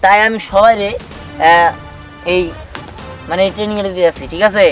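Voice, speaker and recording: A performer voicing a bossy, brisk cartoon super commando who gives orders and instructions. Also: medium pitch, fairly even intonation, recorded from a distance, soft background music, lightly acted. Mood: firm, reassuring. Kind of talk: Bengali cartoon voice acting.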